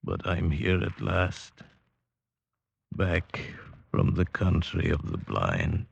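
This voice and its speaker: A man's voice: low-pitched, sing-song, slow, creaky, husky, lo-fi sound, full character voice. A man acting a haunted, passionate mountaineer in an old radio drama, telling his story in a lyrical, dramatic way. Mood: wistful awe. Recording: old radio recording, orchestral score behind.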